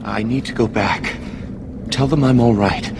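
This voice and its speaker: An actor playing a frightened, pleading young male captive in a video game, halting and anxious. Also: medium pitch, background noise, natural voice.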